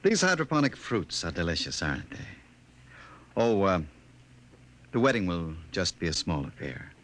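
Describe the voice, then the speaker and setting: A low, richly animated male voice for a powerful, possessive official. He speaks with suave, formal condescension in the manner of 1950s radio drama. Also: moderate pace. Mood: suave, courting. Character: smooth, controlling, domineering male official and suitor, possessive. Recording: old-time 1950 radio recording.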